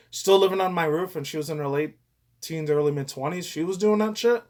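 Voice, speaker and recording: A young man with a slightly high voice talks casually as a reaction commentator. He rambles loosely, with plenty of crude slang and heavy swearing.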